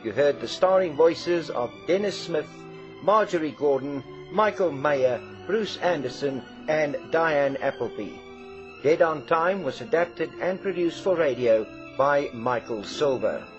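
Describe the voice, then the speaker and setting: A man's voice: a little high, lively intonation, a little slow. Male radio announcer over prominent music. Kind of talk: scripted closing credits announcement. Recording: dull vintage radio, music behind.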